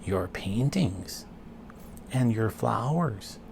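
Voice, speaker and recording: A man voicing an enthusiastic, encouraging wife in a children's storybook, with emphatic, deliberate words. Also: on the low side, lively intonation, creaky, background noise, full character voice. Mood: excited.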